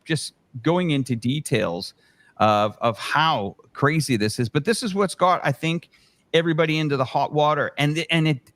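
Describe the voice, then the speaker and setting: A man's voice: mid-range voice, a bit bright. A man giving impassioned political commentary as a livestream panelist, emphatic and fond of rhetorical questions. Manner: emphatic, rhetorical questions, acts out imagined exchanges.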